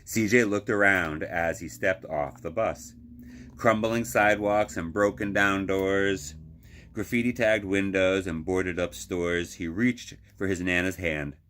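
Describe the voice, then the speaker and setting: A man's voice: deep voice, steady tone, measured pace, fairly bright tone, slight background noise. A man reading a children's picture book aloud as a librarian would, expressively, drawing out phrases for emphasis.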